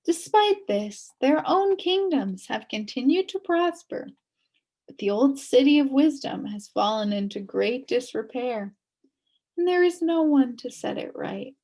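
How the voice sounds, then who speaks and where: A woman voicing a kindly, sad old witch from a storybook, gently resigned and soothing. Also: sits high, voice pushed up, exaggerated voice, low-quality recording.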